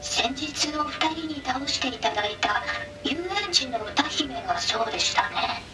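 A woman voicing a gentle, courteous and helpful robot villager in a Japanese game. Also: sits high, expressive, measured pace. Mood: polite, helpful. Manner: courteous, soft-mannered. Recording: loud music behind.

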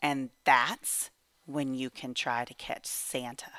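A woman reading a children's picture book aloud in an animated, measured storytelling manner. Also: rumbling low, no echo. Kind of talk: expressive children's read-aloud narration.

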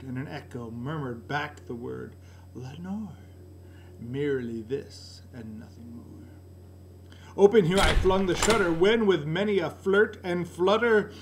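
A middle-aged man giving a dramatic, theatrical recitation of a gothic poem in an indoor room. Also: on the high side. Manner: theatrical, swinging from hushed murmurs to loud cries. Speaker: poetry reader, library director. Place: indoor room by a curtained window. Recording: noisy room recording with steady hum.

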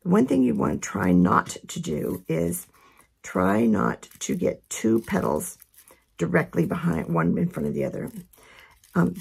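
A woman with a hoarse voice from a head cold explains a craft step by step in a relaxed, chatty way. Her voice is heavily gravelly and raspy. Her pitch sits on the low side but moves a lot. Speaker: craft instructor. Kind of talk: casual step-by-step tutorial.

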